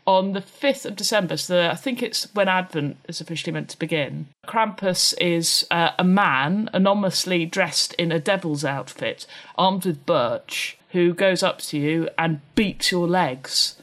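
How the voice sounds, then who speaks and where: A woman's voice: on the low side, unhurried, few pauses, a bit bright. A woman co-hosting a comedy podcast in a playful, casual chat, over a remote call. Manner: playful, breaks into sung and read-out German. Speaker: podcast co-host.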